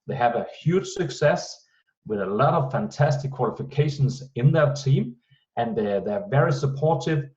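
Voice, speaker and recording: A man hosting an online team meeting, welcoming people and announcing event details, pausing often as he searches for words. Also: medium pitch, darkish tone. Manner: halting, many pauses while searching for words. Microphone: video call. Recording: muffled video-call audio.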